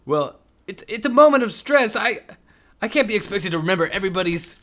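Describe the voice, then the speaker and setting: A man's voice: high-pitched, expressive, brisk, a bit bright, voice pushed up. A man doing a panicky, foul-mouthed bald crook. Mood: panicked. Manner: cursing, flustered.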